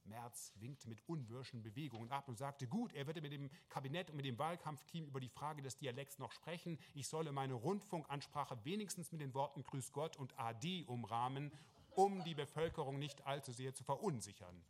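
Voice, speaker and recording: A man reading a first-person literary story aloud, measured and wry, with small restarts. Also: medium pitch, lively intonation. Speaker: narrator. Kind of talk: first-person literary narration, reported speech.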